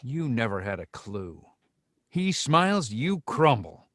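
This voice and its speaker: A man's voice: medium pitch, very animated, takes it slowly, fairly bright tone, dry recording, studio quality. A man playing a smug, taunting villain in a screenplay table read. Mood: menacing. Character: smug, menacing male villain, taunting and controlling.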